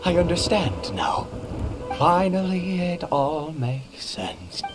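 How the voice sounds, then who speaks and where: A middle-aged man playing an anguished, fanatical father in a video game, theatrical and intense, with halting dramatic pauses. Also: on the high side, very animated, over music. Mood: fervent, awed. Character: anguished father turned fanatical abyss devotee, male, theatrical. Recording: noisy game stream capture.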